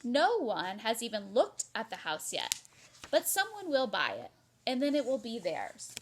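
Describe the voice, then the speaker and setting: A woman voicing a kindly, wistful older female neighbor from a storybook, speaking gently and reflectively. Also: a little high, wildly swooping pitch, a little slow, slight background noise.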